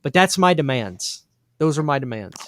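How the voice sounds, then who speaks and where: A man hosting a casual live horror stream, enthusiastic and emphatic, repeating pet phrases, a little hoarse from losing his voice. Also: on the high side, sing-song, normal speed, fairly bright tone, dry recording, clean studio sound. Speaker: horror channel host.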